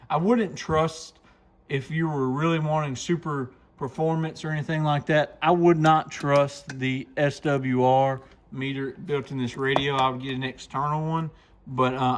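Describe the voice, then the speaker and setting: A man giving a casual, plainspoken hands-on product walkthrough, explaining things step by step in a folksy way. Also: fairly high pitch. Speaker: radio shop owner presenting a product demo. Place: radio shop, indoors.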